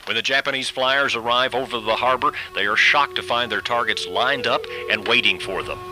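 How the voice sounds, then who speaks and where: A man giving scripted documentary radio narration in a measured, solemn delivery. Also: medium pitch, low-quality recording. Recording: radio broadcast with music bed. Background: background music.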